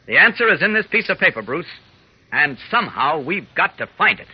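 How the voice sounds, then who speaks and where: An actor playing an earnest, determined investigator in old radio drama style, thoughtful and deliberate. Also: male voice, fairly high pitch, big pitch swings, heavy background noise. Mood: earnest, puzzled. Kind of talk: 1940s radio acting. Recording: muffled old radio recording.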